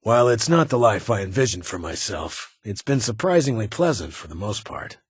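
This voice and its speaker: A man's voice: on the low side, big pitch swings, moderate pace, slightly rough, no room sound, clean studio sound. A man playing a stern, guarded military security chief in a video game, with a clipped, authoritative and brusque manner. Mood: measured, candid. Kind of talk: video game character dialogue.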